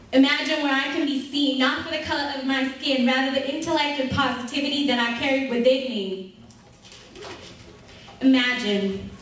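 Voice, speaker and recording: A woman's voice: sits high, fairly bright tone. A young woman from Sri Lanka reciting an earnest spoken word poem at an open mic. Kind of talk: spoken word poetry performance. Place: university event hall. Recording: echoey hall recorded from far off.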